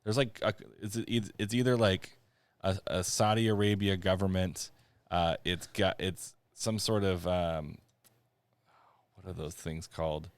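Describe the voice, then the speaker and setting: A man's voice: deep voice, fairly even intonation, a little slow, smooth, no room sound. A man co-hosting a casual sports podcast, wry and hesitant, with lots of fillers. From San Diego area. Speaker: podcast co-host.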